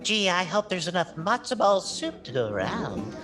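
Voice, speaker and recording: A woman's voice: on the low side, big pitch swings, moderate pace, music in the background. A woman playing a wry, wisecracking comic sidekick in a 1940s-style radio comedy. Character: wisecracking, jittery comic treasure-hunting sidekick.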